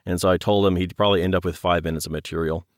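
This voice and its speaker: A man's voice: deep voice, steady tone, rapid-fire, no room sound, studio quality. A man talking casually as an interview guest, telling things in an anecdotal way. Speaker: podcast guest, audio drama producer.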